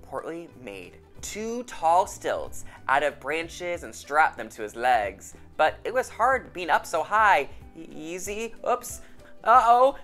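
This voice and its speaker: A woman reading a children's storybook aloud in an animated storytelling style. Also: low voice.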